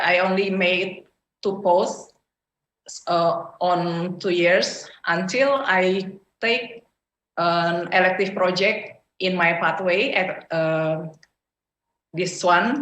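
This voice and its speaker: A woman with an Indonesian accent gives her prepared speech slowly over a video call, stopping often to search for words. Her voice sits a little low. It echoes in a roomy space with faint noise behind it. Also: fairly bright tone, dull audio. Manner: hesitant, many "uh" fillers. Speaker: club member giving a speech. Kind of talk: prepared speech at an online club meeting.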